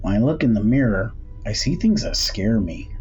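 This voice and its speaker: A man's voice: fairly low pitch, animated, moderate pace, darkish tone, dull audio, soft background music, close to an everyday voice. A man voicing an anxious, earnest schoolboy troubled by eerie dreams in an audiobook. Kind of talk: audiobook character dialogue.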